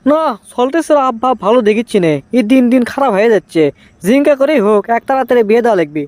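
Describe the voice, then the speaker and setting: A man's voice: extremely high pitch, lively intonation, rapid-fire, darkish tone, no room sound. A man voicing a village father who scolds and coaxes his grown son, in comic cartoon dialogue with a Bogura accent from northern Bangladesh. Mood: coaxing. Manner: bossy, scolding, then coaxing.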